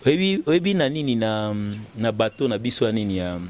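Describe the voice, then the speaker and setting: A man's voice: medium pitch, big pitch swings, brisk, darkish tone. A man with a Congolese accent talking casually and giving opinions, often repeating words as the argument builds. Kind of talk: casual opinionated talk mixing French and Lingala.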